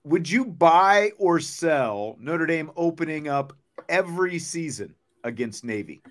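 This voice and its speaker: A male sports host with a moderately high voice speaks in a casual, conversational way. He rambles a little and restates his points as he goes. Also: expressive, unhurried.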